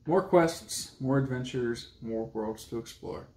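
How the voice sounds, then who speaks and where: Slow-talking man in an echoey room. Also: mid-range voice, darkish tone. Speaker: book vlog co-host. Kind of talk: casual book review chat. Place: indoor room at home with bookshelves. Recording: echoey room with background noise.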